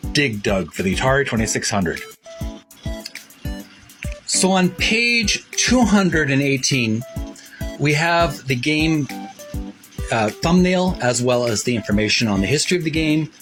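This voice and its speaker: A man hosting a casual retro gaming show-and-tell, explaining things in a halting, meandering way and sometimes reading aloud. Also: mid-range voice, a bit bright. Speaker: host of a retro gaming book video channel.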